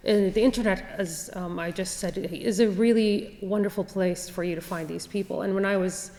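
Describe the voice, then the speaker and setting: A woman's voice: mid-range voice, steady tone, moderate pace, dark tone. A woman from Saudi Arabia speaking on a panel, hesitant, with many fillers and restarts. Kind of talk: panel discussion answer. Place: university lecture hall. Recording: reverberant university hall.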